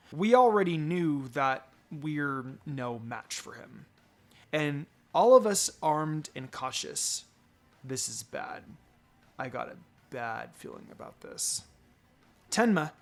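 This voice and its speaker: A man reading fan fiction aloud as dramatic first-person narration. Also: on the high side.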